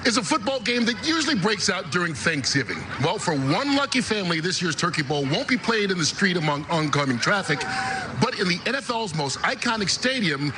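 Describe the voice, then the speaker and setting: A man's voice: high-pitched, sing-song, a little fast, background noise. An American man hosting a TV sports show, presenting live in an upbeat broadcast style. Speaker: sports studio show host.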